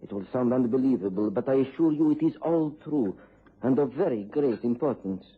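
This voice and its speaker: An actor playing a formal, earnest mid-European gentleman in a radio drama, with a Central European accent. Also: mid-range voice, expressive, husky. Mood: urgent, grave. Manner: formal, deliberate wording. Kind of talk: BBC radio drama acting. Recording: muffled tinny old radio recording.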